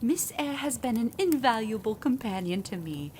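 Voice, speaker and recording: An actress playing a kindly, deferential manor housekeeper in a period audio drama, chatty and genteel in manner. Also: female voice, fairly high pitch, lively intonation, normal speed, a little mellow, slight background noise, lightly acted. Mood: earnest.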